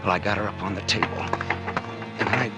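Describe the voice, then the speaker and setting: A man's voice: fairly low pitch, steady tone, a little fast, natural voice. An American actor playing a jittery, guilty murderer in a 1940s radio drama, stammering and hesitant as he confesses. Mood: tense confession. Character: nervous, guilty murderer confessing his scheme. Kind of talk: 1940s radio drama acting, first-person narration. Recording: old 1940s radio recording, music behind. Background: dramatic music.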